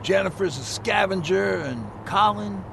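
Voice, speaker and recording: Casting: earnest survivor leader in a post-apocalyptic game, higher-pitched with gravelly edge, friendly but uneasy. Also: male voice, lively intonation, measured pace, noisy background, heavily acted. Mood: composed, friendly. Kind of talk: video game dramatic acting.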